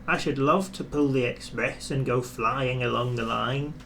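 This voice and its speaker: A man voicing an eager, cheeky little steam engine in a children's storybook, in a bright, boyish manner. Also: mid-range voice, steady tone, a little slow, gravelly, slight background noise. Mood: wistful.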